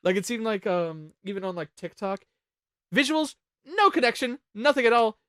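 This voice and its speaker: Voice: medium pitch, expressive, normal speed, fairly bright tone, dry recording, studio quality. A host chatting casually while ranking songs on a panel show, jokey, profane and talkative.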